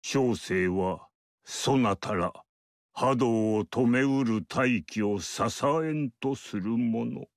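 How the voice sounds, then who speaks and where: An actor playing an ageless male mystic sage in a video game, speaking in a measured, archaic and lofty way. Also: mid-range voice, no echo, studio quality. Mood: aloof. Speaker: game character. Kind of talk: game dialogue acting.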